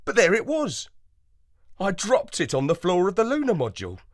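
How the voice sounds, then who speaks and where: A man's voice: sits high, wildly swooping pitch, normal speed, no room sound, studio quality, pitched above the performer's own voice, exaggerated voice. A man playing an earnest, loyal space dog, narrating a scripted children's story expressively. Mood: moved, earnest. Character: earnest, loyal male space dog telling his adventures.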